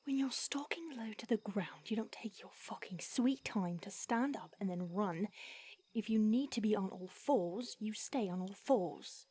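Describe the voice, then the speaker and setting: A woman's voice: medium pitch, a little slow. A woman voicing an ancient whispering vampire. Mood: scolding. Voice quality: breathy. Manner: hushed whispering, hesitant restarts. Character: ancient remorseful female vampire, mentor to her new fledgling. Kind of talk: audio roleplay.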